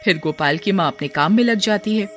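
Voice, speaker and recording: A woman's voice: mid-range voice, fairly quick, fairly bright tone, soft background music. A woman working as narrator, reading a scripted children's story.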